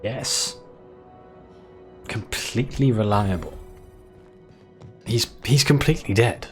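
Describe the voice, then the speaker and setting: A man playing through a game and commenting wryly, his voice hoarse from a recent cold. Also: on the low side, takes it slowly, faint music behind. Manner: wry, amused. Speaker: gaming video host. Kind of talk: gameplay commentary.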